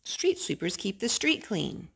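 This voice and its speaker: A woman reading children's picture books aloud in a gentle, animated storytime voice, stopping to talk with the children. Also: mid-range voice, unhurried, noisy background. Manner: gentle, animated read-aloud voice, talking to the children between pages. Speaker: storytime reader.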